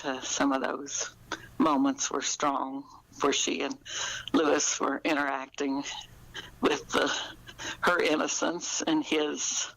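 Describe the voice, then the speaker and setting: Creaky-voiced older woman. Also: low voice, fairly bright tone, rough recording. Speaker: author being interviewed. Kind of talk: conversational interview.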